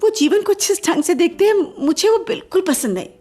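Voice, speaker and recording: A principled, proud young woman in a radio drama. Her high voice is earnest and formal, with firm conviction. Light music plays underneath. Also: animated, fairly quick, mellow. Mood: earnest, disappointed. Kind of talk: Hindi radio play acting.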